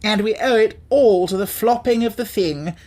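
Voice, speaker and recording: A woman's voice: medium pitch, normal speed, dark tone, pitched above the performer's own voice, close to an everyday voice. A woman voicing a pompous, educated male insect in a storybook reading, speaking in a grand, impressive manner. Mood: lofty.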